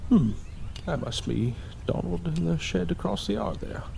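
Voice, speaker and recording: A man's voice: a little high, animated, moderate pace, gravelly, mellow, a little hiss or hum. A man playing a flustered, stammering doctor. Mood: calling out. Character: flustered, polite male doctor, middle-aged.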